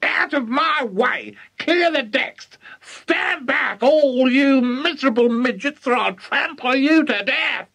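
A man's voice: extremely high pitch, expressive, takes it slowly, vocal fry, few pauses, bright, forceful tone, breathy edge, no echo, voice pushed up, exaggerated voice. A man voicing a grumpy, bossy giant old woman in a children's storybook, shrieking and domineering. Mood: wild, threatening.

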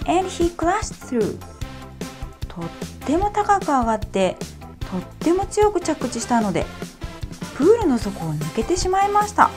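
A woman reading a picture book aloud to children, gentle and clear in her storytelling. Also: high-pitched, big pitch swings, measured pace, pauses often. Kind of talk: bilingual storybook read-aloud. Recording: faint music behind.

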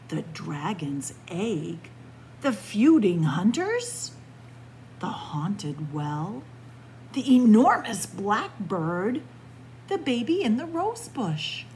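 A woman reading a picture book aloud as a library story time host, expressive and pausing deliberately between phrases. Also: lowish voice, a little hiss or hum, rough recording. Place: indoors in a library, among bookshelves.

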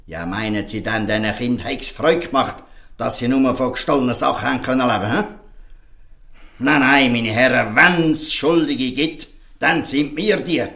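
A man's voice: mid-range voice, normal speed, sharp tone. An actor playing an earnest, defiant fisherman in a Swiss German audio drama, speaking emphatically and pleadingly. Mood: indignant, pleading. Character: earnest, defiant fisherman defending outcast children. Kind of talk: audio drama dialogue in dialect.

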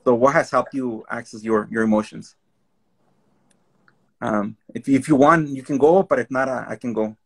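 A man hosting a casual panel discussion over a video call, telling stories in a relaxed, conversational way. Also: medium pitch, moderate pace, gravelly, long pauses, breathy edge. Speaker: panel host. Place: room at home, indoors.

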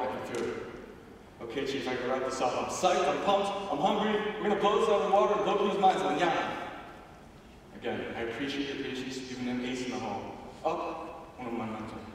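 A man's voice: medium pitch, natural voice. A young man acting a slick, slangy, hyped-up hustler in a stage play. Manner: fast-talking, slangy, hyped. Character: slick fast-talking male hustler prospect. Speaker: stage actor. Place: school theater stage. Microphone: far audience camera. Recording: far-off echoey auditorium recording with faint noise.

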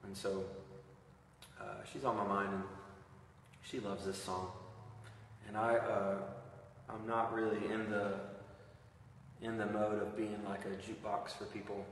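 A man, chatting casually in a relaxed, rambling way between songs, filmed on a phone a few feet away in a stairwell. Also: lowish voice, measured pace, long pauses, a little hiss or hum. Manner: relaxed, rambling chat with fillers and jokes. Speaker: worship leader. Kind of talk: live-streamed acoustic worship with casual chat. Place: echoey concrete stairwell. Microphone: phone camera a few feet away. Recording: echoey stairwell from a distance.

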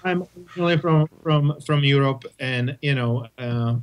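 A man from Czechoslovakia with a Czech accent, answering interview questions in halting English full of restarts. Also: medium pitch, clean tone, poor audio. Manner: halting, many restarts and repeated words. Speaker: guest, testing company representative.

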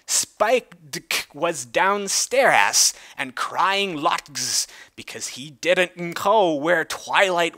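Theatrical, high-pitched man reading a story. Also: sharp tone, no echo, studio quality. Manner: theatrical, mock-serious delivery of clumsy prose. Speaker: reader. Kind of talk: mock-dramatic storybook reading.

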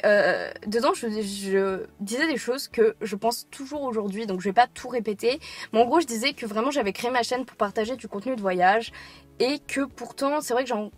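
An eighteen-year-old woman from France, talking casually to camera from a parked car, rambling with many fillers and restarts. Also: medium pitch. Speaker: YouTuber. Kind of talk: casual talking-head vlog.

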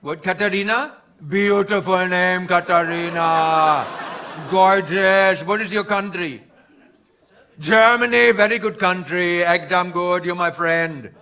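A man playing a cheery, flattering Indian finance guru with an Indian accent, in theatrical character stand-up that repeats phrases and banters with the audience. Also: high voice, slow pace, exaggerated voice. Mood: flattering, ingratiating. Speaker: stand-up comedian. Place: indoor live venue before an audience. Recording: live stage with noisy audience. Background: audience laughter and crowd ambience.

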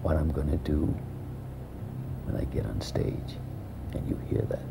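Deep creaky-voiced man, slow hushed whispered storytelling. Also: very noisy. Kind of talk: whispered first-person storytelling.

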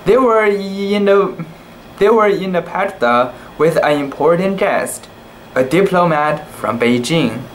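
A young man reading a children's book aloud in a casual, halting way, with cooing asides about the pictures. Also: high-pitched, animated, slight background noise, rough recording. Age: young adult.

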